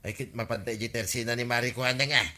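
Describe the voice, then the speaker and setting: Aggrieved villager, very sharp forceful voice. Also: male voice, mid-range voice, steady tone, quick pace. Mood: mildly annoyed. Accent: Ilocano. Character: aggrieved, complaining village man, grudgingly forgiving. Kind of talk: Filipino radio comedy drama acting.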